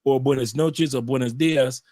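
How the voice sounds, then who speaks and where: A man chatting in a casual interview, animated and repetitive, often restarting his sentences. His voice sits in a middling register. The recording is dry, with no room sound. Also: medium pitch, moderate pace, no room sound. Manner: animated storyteller, repeats phrases, frequent restarts. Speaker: guest, YouTube channel creator and author. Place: home in Colombia.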